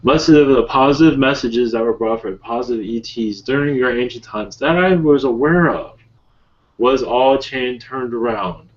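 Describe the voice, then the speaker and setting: A man voicing an ancient otherworldly spirit guide in a calm, solemn, halting manner with measured, broken phrasing. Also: medium pitch, natural voice. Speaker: channeler leading a webinar session. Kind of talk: slow improvised channeled speech. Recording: muffled lo-fi webinar call audio.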